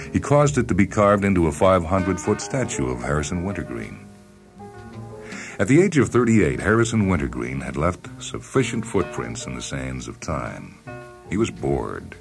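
Really deep guy reading a short story, dry n deliberate, music playing under him. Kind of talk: scripted dramatic story narration. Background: background music.

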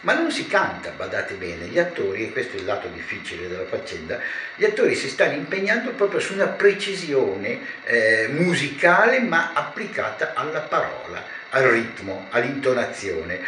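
An older man of around seventy, a theatre director explaining his work in a measured, erudite way with pauses. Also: medium pitch, sing-song, pushed and bright. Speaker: theatre director being interviewed. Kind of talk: reflective explanatory talk to camera. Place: small living room with shelves, indoors. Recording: roomy echo, clear background noise.

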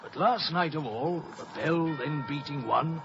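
An actor playing an anxious castle sentry in 1950s radio Shakespeare. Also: male voice, fairly high pitch, a little slow. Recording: muffled, noisy old radio broadcast.

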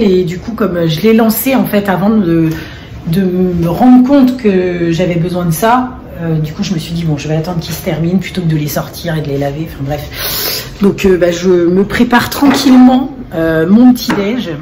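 Mellow-voiced woman vlogging at home. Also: mid-range voice, a little hiss or hum. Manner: rambling, many fillers, relaxed. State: slightly hoarse. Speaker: vlogger, single mother of five. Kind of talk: casual daily vlog chat. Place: home, living room and kitchen, indoors. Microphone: phone held at arm's length.

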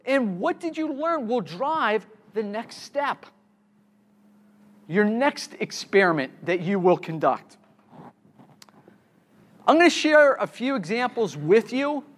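Halting high-voiced man giving a keynote. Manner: halting, repeats words and restarts often. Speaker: conference keynote speaker. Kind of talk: conference talk with slides. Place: conference room.

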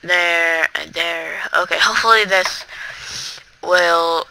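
A gamer giving rambling, chatty commentary over their own play, talking straight to viewers with sudden excitable outbursts. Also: medium pitch, takes it slowly, gravelly, poor audio. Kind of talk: casual gameplay commentary.

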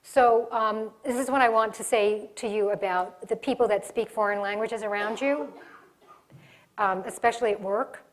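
A woman's voice: mid-range voice, moderate pace. A middle-aged woman giving a live workshop in a conference room, talking with her hands and often restarting her sentences. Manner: animated, gestures a lot, restarts sentences. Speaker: diversity workshop presenter. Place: conference room in front of a projection screen.

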